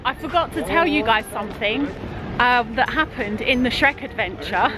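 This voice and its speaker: A British woman, a chatty and excitable storyteller, talking casually to a handheld camera out on city streets. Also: a little high, moderate pace, poor audio. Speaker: family vlogger. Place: outdoors on busy city streets. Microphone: handheld camera at arm's length. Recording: busy street crowd, loud background music.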